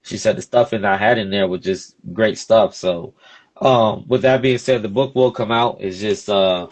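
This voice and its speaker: A man's voice: lowish voice, moderate pace. A man in his early thirties talking casually as a podcast host, informal and often checking in with "you know" phrases. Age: early 30s. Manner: informal, peppered with "you know what I'm saying". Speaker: podcast host being interviewed. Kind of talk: casual conversational interview talk.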